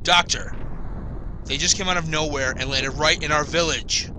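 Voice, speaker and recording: A man's voice: a little high, fairly even intonation, moderate pace, background noise, natural voice. A man playing an anxious, loyal young village man in a game fan dub, earnest and informal. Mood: anxious, determined.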